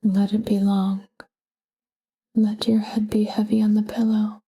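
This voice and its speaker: A woman guiding a sleep meditation in a soft, breathy whisper, calm and gentle, speaking in short, unhurried phrases. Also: mid-range voice.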